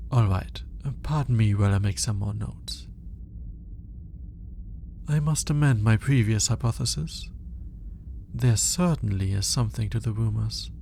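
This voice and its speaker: A man performs an alien scientist in an intimate ASMR roleplay, studying with clinical curiosity and reluctant fascination. His voice is soft and mellow with a creaky, gravelly edge. He speaks slowly and with measured care, over a faint background noise. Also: on the low side. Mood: clinical, intrigued. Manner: clinical, measured, hesitant when flustered.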